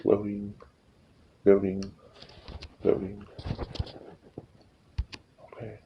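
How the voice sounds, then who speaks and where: A man with a fairly low voice talks through a tutorial in an informal, halting way, often repeating himself. The recording has clear background noise and some clicking. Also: completely flat delivery, lo-fi sound. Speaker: tutorial host. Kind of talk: casual screen-recording tutorial.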